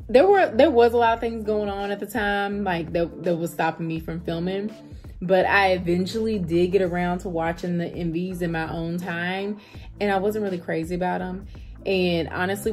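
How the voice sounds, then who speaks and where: An American woman giving casual reaction commentary as a video host, speaking in a blunt, slangy, conversational way. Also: mid-range voice.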